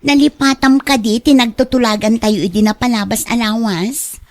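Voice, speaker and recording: A woman's voice: a little high, normal speed, close to an everyday voice. An actress playing a chummy young female friend in an Ilocano radio drama. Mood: chummy, coaxing.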